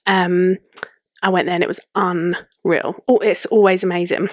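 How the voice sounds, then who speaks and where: A woman's voice: lowish voice, flat delivery, moderate pace, no room sound, clean studio sound. A young woman with a London accent hosting a podcast, chatting casually in an informal, slangy way. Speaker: podcast host. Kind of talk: casual solo podcast chat.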